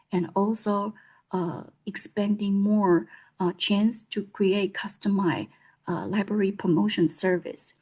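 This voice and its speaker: Woman librarian with a Taiwanese Mandarin accent, Mandarin-native, mid-pitched, very slow, halting delivery full of fillers. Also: darkish tone, breathy edge. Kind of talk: conference presentation.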